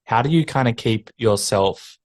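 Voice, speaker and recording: A man hosting a relaxed interview, encouraging his guest with enthusiastic, slightly rambling questions. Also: mid-range voice, moderate pace, dry recording. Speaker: podcast host. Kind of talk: casual interview chat.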